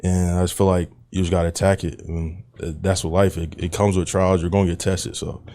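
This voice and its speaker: A young man, an athlete, answering an interview in a relaxed, rambling way with repeated fillers. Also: low voice, brisk.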